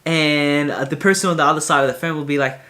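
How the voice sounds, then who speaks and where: A young man with a noticeably high, bright voice chats straight to camera in a vlog. He rambles freely, circling back with fillers and restarts, open and candid about himself. The tone stays tense and sharp rather than mellow. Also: steady tone, fast. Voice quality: high-pitched voice. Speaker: vlogger. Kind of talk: casual talk to camera.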